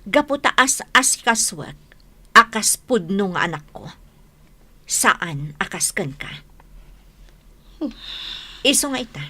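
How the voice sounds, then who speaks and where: A scornful, taunting new wife. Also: female voice, medium pitch, big pitch swings, slow pace, pauses often, sharp tone, husky, no room sound, heavily acted. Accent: Ilocano. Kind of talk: AM radio drama acting.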